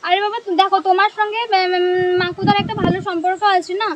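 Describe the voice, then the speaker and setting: Very high-voiced young woman, speaking fast. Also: level tone, no room sound, dull audio. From West Bengal, India. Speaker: prank caller, vlogger. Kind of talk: casual prank phone call.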